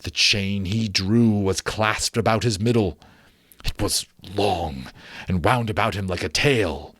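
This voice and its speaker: A man reading a classic story aloud as dramatic narration, theatrical and deliberate, building suspense. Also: lowish voice, fairly bright tone, no echo, clean studio sound. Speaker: amateur audiobook narrator.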